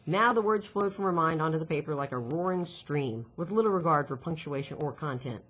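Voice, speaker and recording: A woman's voice: low-pitched, expressive, a little fast, a bit bright, breathy edge, low-quality recording. A woman narrating a children's novel expressively, reading from the text. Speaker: audiobook reader, teacher. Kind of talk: expressive scripted story narration.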